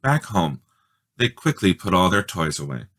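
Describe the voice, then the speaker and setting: Low, bright-voiced man reading a storybook. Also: moderate pace. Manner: dramatic, expressive storytelling. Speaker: storybook reader. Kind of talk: children's storybook read-aloud, scripted narration.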